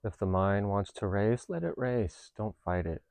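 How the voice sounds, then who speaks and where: A man leading a guided meditation, giving gentle instructions in a calm, unhurried, reassuring manner. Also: lowish voice, gravelly, clean tone, no room sound. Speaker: meditation teacher.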